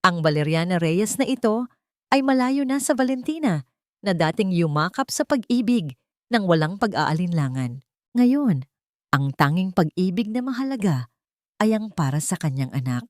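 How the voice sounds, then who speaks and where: A woman's voice: lowish voice, sing-song, normal speed, dry recording, studio quality. A woman reading scripted dramatic narration of a romance story.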